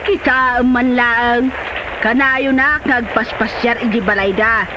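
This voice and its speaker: A woman's voice: on the high side, fairly even intonation, slow pace. A woman playing a chatty, meddling but well-meaning middle-aged mother in a comedy drama, with a Filipino Ilocano accent. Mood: inquisitive, chatty. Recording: dull, noisy location sound.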